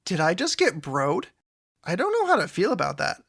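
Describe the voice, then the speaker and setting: A man's voice: high voice, wildly swooping pitch, moderate pace, gravelly, fairly bright tone, heavily acted. A man playing an earnest, awkward, chatty sidekick hero in an audio drama. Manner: chatty, earnest, self-effacing.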